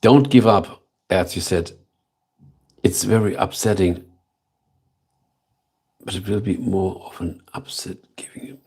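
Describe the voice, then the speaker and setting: A man with a German accent hosting a casual live stream, chatting slowly and thoughtfully with viewers and repeating himself between fillers. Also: deep voice, darkish tone, breathy edge. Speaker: livestream host.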